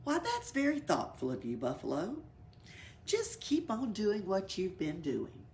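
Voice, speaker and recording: Storybook elephant boss, woman reader: male, patient, authoritative, weary, slow delivery, creaky edge. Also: medium pitch, huge pitch swings, a little mellow, slight background noise. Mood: polite, official.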